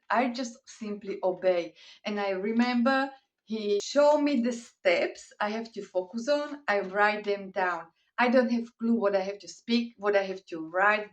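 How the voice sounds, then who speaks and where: A woman whose first language is Slovak speaks English with a Slovak accent, earnest and encouraging, in plain, simple phrasing. Her voice sits at a middle pitch. She sounds a little distant from the microphone. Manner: earnest, encouraging, simple non-native grammar. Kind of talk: encouraging faith talk to camera.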